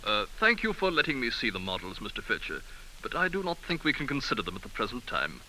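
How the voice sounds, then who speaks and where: An actor playing a haughty, dismissive British lord, pompous and condescending, in a hissy 1930s radio drama. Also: male voice, medium pitch, huge pitch swings, quick pace, pushed and bright, soft background music, exaggerated voice, low-quality recording. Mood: polite dismissal.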